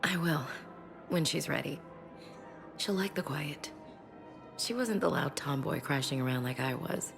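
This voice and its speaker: A woman's voice: low-pitched, measured pace, creaky, long pauses. An actress playing a tough, wry female soldier in a video game, talking informally to her commander. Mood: wistful, fond. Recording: background music.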